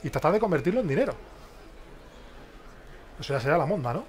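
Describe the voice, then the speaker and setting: Lively man leading a business workshop. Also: a little high, fast, slight background noise. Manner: lively, questioning the audience, many tag questions. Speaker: workshop speaker. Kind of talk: interactive business workshop talk. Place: large exhibition hall, small stage. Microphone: headset mic.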